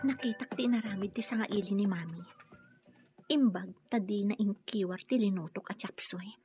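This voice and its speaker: An actress playing a dutiful young Filipino daughter-in-law who stands up for herself in an Ilocano radio drama. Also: female voice, mid-range voice, normal speed, highs cut off, music in the background, natural voice. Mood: quietly suspicious.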